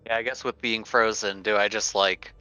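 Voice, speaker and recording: A man's voice: on the low side, fairly even intonation, moderate pace, bright, forceful tone, smooth. A man playing a tabletop role-playing game, chatting casually, on a muffled lo-fi voice channel.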